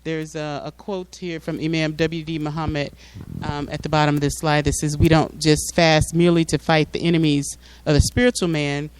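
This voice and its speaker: A man's voice: a little high, level tone, moderate pace, smooth. A man giving an informal explanatory talk to a community audience, often repeating words as he searches for phrasing. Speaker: public speaker at a mosque. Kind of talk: informal public address with slides.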